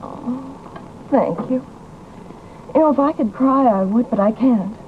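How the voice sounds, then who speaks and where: A woman's voice: a little high, takes it slowly. An actress playing a prim, aggrieved young woman in an old radio drama, with a plaintive, tart and formal delivery. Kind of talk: old-time radio horror-comedy acting. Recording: muffled, noisy old radio recording.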